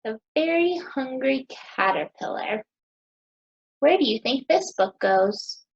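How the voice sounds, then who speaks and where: An American woman in her thirties teaching young children, asking questions in a sing-song, encouraging way with deliberate pauses. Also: sits high, animated, breathy edge, lo-fi sound. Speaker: teacher hosting a children's story time.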